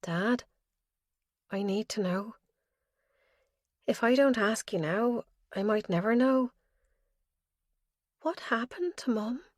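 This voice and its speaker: A woman's voice: fairly high pitch, slow, long pauses, darkish tone, no echo, studio quality. A woman voicing a desperate, wounded adult daughter in an audiobook, pleading with emotion. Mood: tentative pleading. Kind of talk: audiobook character dialogue.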